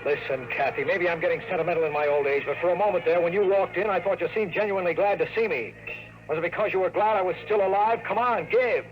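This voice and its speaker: A man's voice: high-pitched, fast talker, dull audio, natural voice. An actor playing a wisecracking, world-weary male private investigator who narrates and talks in hardboiled 1950s radio drama style. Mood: pressing, wry. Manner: wry, slangy, sardonic. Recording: old radio broadcast with music.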